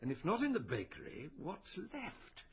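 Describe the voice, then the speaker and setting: An actor playing a brooding, inquisitive medical detective in a naturalistic radio drama, questioning and musing in a deliberate way. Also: male voice, a little high, huge pitch swings, lo-fi sound, a little hiss or hum. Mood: probing.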